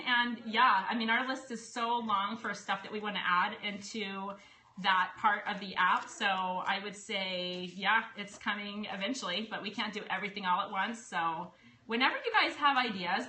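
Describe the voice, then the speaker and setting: Woman, live-stream host, bubbly, chatty, bright tone, echoey room. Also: mid-range voice. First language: English. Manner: bubbly, chatty, quick to laugh. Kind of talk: casual live-stream chat. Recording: echoey room, faint noise.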